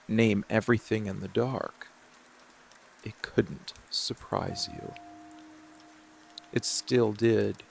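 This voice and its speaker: A man acting as a dark, protective monster lover murmurs in an intimate ASMR roleplay. The voice is low and heavily gravelled, brooding and seductive, with deliberate pauses. Rain and music play clearly behind it. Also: full character voice. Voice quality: gravelly, creaky. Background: steady rain and music.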